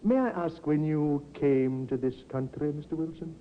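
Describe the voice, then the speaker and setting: An actor playing a calm, methodical male police inspector in a vintage radio drama, formal and politely insinuating. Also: fairly high pitch, moderate pace, lightly acted. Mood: politely probing. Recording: muffled, hissy 1950s radio recording.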